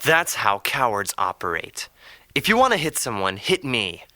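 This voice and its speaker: A man's voice: mid-range voice, very animated, normal speed, no echo. An actor playing a protective young male captive in an audio drama, defiant and earnest. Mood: defiant, angry. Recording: loud background noise.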